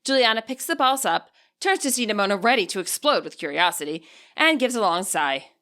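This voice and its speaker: A woman reading expressive scripted narration as the author and narrator of an audiobook. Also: medium pitch, brisk.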